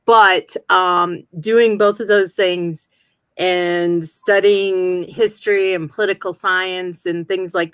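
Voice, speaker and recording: A woman with a lowish voice who speaks in a measured, self-deprecating way. Her audio is dry and close, with no room sound, as she talks over a video call. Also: a bit bright, clear, resonant tone, no room sound. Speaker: game show contestant, immigration attorney. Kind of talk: casual self-introduction.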